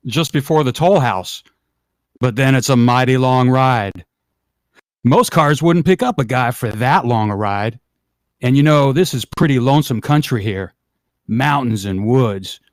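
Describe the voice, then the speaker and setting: A man's voice: medium pitch, no room sound, clean studio sound, lightly acted. A man playing a plain-spoken, chatty gas station attendant in an amateur radio play, with a folksy, colloquial manner.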